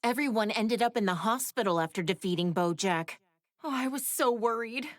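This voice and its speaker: Lady playing sassy confident anime woman in a game, kinda high voice, very up n down, breezy. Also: lively intonation, moderate pace, no room sound, lightly acted. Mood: uneasy. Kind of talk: animated game voice acting.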